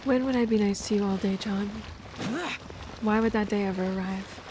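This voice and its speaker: A woman livestreamer chatting casually with a teasing, deadpan manner during a game stream. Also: medium pitch, normal speed, background noise. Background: game music.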